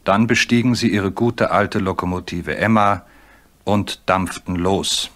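A man's voice: deep voice, a little slow, background noise. A man narrating a scripted children's story as its storyteller. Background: music.

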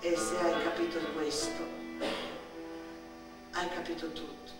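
A woman's voice: low-pitched, flat delivery, slow, loud music behind the voice. A woman with a Northern Italian accent performing a dramatic theatrical monologue, telling stories with emphatic repetitions. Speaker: stage actress and storyteller. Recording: echoey hall from far off, faint noise.

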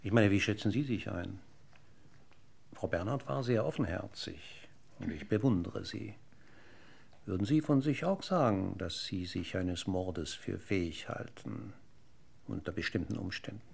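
An actor playing a courteous but relentlessly needling police commissioner in a radio crime drama, formal and deliberate. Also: male voice, deep voice, creaky, a little mellow, husky, exaggerated voice. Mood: quietly probing.

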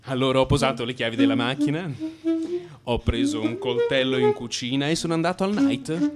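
A man's voice: medium pitch, fairly quick, over loud music, lightly acted. An actor playing an agitated, frustrated man confessing to his analyst in a theatrical radio monologue, moving between muttering and outbursts. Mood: excited, scheming.

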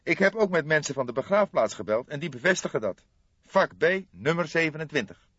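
A man voicing an agitated, eager young police detective in an audiobook, with excitable, emphatic delivery. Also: fairly high pitch, a little fast, husky, no echo, dull audio, voice pushed up. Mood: eager, reporting.

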